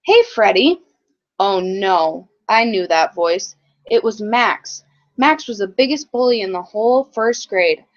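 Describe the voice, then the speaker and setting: A woman teacher giving a read-aloud lesson to young children in a halting, explanatory way. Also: medium pitch. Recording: dull lo-fi recording.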